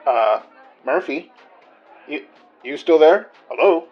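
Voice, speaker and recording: A man's voice: on the high side, expressive, slow pace, highs cut off, soft background music. An actor playing a distracted, reassuring male detective on a call to a partner, in an audio drama. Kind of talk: naturalistic horror fiction podcast acting.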